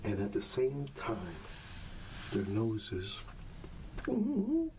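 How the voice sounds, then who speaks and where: A man softly reading a bedtime story in an ASMR style, soothing and often dropping into a whisper. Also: medium pitch, wildly swooping pitch, extremely slow, distant mic, noisy background. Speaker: ASMR storyteller playing a dad.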